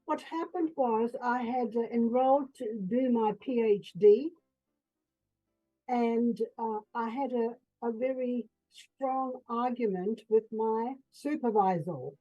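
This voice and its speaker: An older woman from Fiji, an author and psychotherapist, speaking in an earnest, measured way in a radio interview. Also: fairly high pitch, warm tone, dull audio, soft background music.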